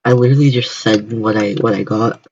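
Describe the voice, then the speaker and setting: A man's voice: fairly low pitch, fairly even intonation, darkish tone. Young American man streaming, slightly muffled audio. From United States. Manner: halting, repetitive starts. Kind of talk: casual chat on a livestream.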